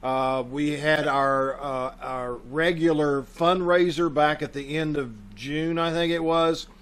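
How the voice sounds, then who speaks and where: Higher-voiced man hosting a casual welcome. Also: fairly even intonation, slow, a bit bright. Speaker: host, leader of a community theater troupe. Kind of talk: casual welcome talk to an audience. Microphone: video call. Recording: video call.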